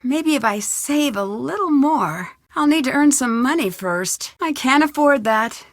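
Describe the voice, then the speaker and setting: A curious, plucky young woman detective from a video game, muses to herself as she works. Her voice sits fairly high and every word is clearly enunciated. The recording is close and dry, with no room sound. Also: expressive, a little slow, dry recording. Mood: curious, focused. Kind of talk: video game voice acting, thinking aloud.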